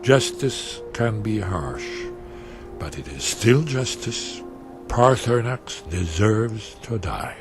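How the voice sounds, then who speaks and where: Learned, stern old fantasy archivist, music behind. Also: male voice, lowish voice, animated. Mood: stern, judging. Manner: learned, deliberate, expository. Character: scholarly, stern older male archivist of a warrior order. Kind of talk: fantasy video game voice acting.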